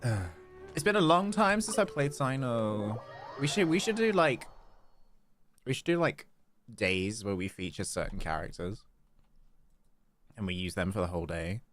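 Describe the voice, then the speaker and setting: A man's voice: lowish voice, lively intonation, moderate pace, long pauses, a bit bright, faint music behind. A man doing casual, chatty live game commentary, playful and sometimes reading dialogue aloud. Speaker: game livestreamer.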